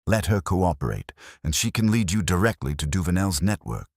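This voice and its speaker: A man plays a shrewd Victorian detective in an audiobook story. The voice is extremely low and deliberate, commanding in tone, with expressive swings and a dry, close sound. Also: dry recording. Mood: commanding, strategic.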